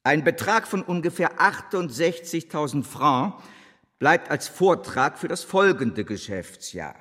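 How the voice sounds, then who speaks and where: Husky self-assured bank chief, big reverb. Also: male voice, fairly high pitch, big pitch swings, unhurried, fairly bright tone, heavily acted. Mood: formal, proud. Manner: formal, declamatory, emphatic. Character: male bank chief addressing shareholders, self-assured, impatient. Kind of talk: German radio drama acting. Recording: long hall reverb.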